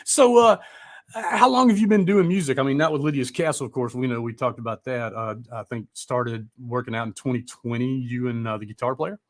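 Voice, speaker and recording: A man's voice: mid-range voice, brisk. Man, middle-aged, live music show host, casual chat, rambling, informal, mildly profane.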